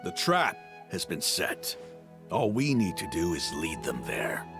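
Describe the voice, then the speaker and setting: A man's voice: low voice, very animated, unhurried. A man playing a battle-ready desert fighter in a video game, a loyal, spirited comrade with a dramatic delivery. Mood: scornful, ready. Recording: game music behind the voice.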